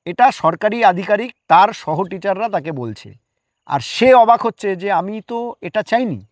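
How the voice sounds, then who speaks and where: A man's voice: high voice, lively intonation, a little slow, no echo, clean studio sound. A man speaking earnestly as an interview guest, explaining his opinions emphatically with rhetorical questions.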